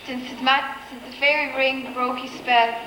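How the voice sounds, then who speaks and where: Stately fairy queen, played by a woman: measured rhyming delivery, amateur stage, echoey recording with clear noise. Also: high voice, little pitch movement, sharp tone. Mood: sweetly declaiming. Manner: declamatory, measured verse. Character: sweet, stately fairy queen speaking in rhyme. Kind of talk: amateur stage pantomime acting. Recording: echoey hall, distant, noisy.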